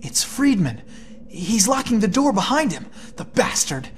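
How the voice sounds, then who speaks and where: A worried but determined teenage student in a video game. The pitch moves around in a lively way, and there is clear noise behind the voice. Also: female voice, a little slow. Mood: suspicious, tense. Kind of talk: video game cutscene voice acting.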